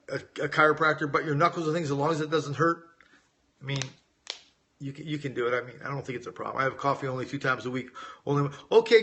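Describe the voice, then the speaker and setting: Bright-voiced American man chatting live. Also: on the high side. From United States, grew up in Las Vegas. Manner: informal, conversational, addresses viewers directly. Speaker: weight-loss coach hosting a live Q&A. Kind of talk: casual live-stream chat, reading out viewer questions and answering.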